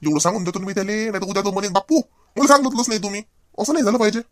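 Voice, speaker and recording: A man plays a henpecked cartoon husband with a fairly high male voice and a Vidarbha Marathi accent. He grumbles with sarcastic, mock-tragic emphasis, stretching words for comic effect. The sound is dry, without room echo. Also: very fast, fairly bright tone. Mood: mock lamenting. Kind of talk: comedy cartoon dialogue.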